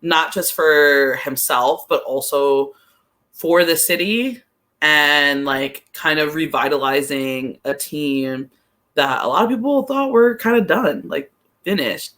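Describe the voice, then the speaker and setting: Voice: medium pitch, slow, pushed and bright. Casual soccer podcast talker, thinking aloud. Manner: hesitant, thinking aloud, frequent use of "like". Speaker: podcast guest analyst. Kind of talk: casual chat, soccer commentary.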